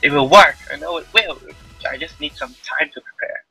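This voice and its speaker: A man voicing a confident female spirit who deals in magic in a visual novel, theatrical and self-assured, with a husky voice. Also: on the high side, a little fast, sharp tone, faint music behind, exaggerated voice, rough recording. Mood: breezy, confident.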